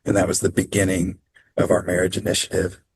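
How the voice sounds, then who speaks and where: A man presenting on a video call training, speaking in a measured, practical way with frequent ums. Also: low-pitched, monotone, gravelly, slightly rough. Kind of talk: online training talk.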